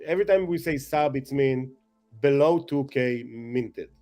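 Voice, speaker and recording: A man chatting as a guest, excitable and rambling, self-mocking, with non-native English phrasing. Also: mid-range voice, a little slow, faint music behind. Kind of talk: casual chat.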